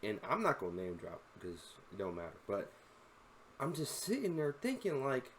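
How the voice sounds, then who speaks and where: A man's voice: medium pitch, huge pitch swings, moderate pace, a little hiss or hum. Slangy young man saying bro a lot. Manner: slangy, says "bro" constantly, rambling and earnest. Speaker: content creator reacting to a video. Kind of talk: casual reaction commentary.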